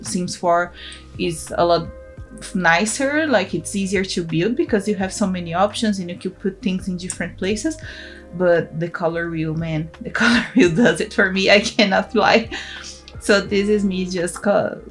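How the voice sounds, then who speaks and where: A young woman from Brazil, a native Portuguese speaker, talking in English with a Brazilian accent in a casual, laughing commentary over her game build. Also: medium pitch. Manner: chatty, laughs often, restarts words. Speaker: gaming channel host.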